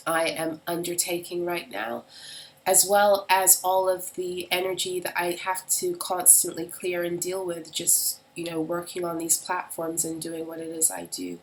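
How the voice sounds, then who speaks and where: A woman reading tarot and astrology in a casual solo video, hoarse and raspy from a head cold, talking in a halting way with frequent ums. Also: a little hiss or hum, poor audio. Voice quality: raspy, deeper than usual. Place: at home in the UK.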